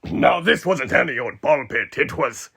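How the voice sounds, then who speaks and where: A sinister, aging male killer living inside a haunted animatronic. His voice is husky and gravelly and swings widely as he rambles theatrically. He speaks to his listener in a slow, mock-friendly roleplay monologue. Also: medium pitch, animated, sharp tone, heavily acted. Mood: theatrical, menacing. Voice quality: raspy, gravelly.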